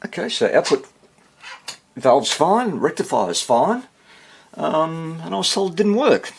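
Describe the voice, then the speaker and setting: A man giving a casual hands-on repair demonstration, muttering to himself and pausing between short phrases as he thinks aloud. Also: on the high side, big pitch swings, gravelly, breathy edge. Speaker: hobbyist radio repairer presenting his workshop video.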